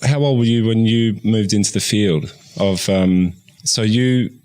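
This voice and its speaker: Low-voiced man hosting a casual podcast. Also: measured pace, darkish tone. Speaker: podcast host. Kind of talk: casual conversational interviewing.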